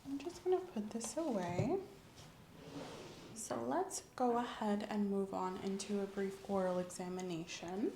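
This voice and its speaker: A soft-spoken woman in an ASMR medical roleplay, gently and calmly playing an examiner, often dropping into a whisper. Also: medium pitch. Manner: gentle, reassuring, unhurried clinical narration. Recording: roomy echo, faint ambient noise.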